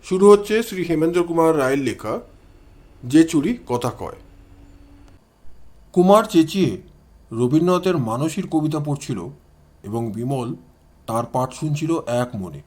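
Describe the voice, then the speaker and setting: A man narrates an audio story. His voice sits fairly high, and he reads as a storyteller hosting the tale. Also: animated, a little slow, pauses often, a little mellow. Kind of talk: dramatised scripted storytelling.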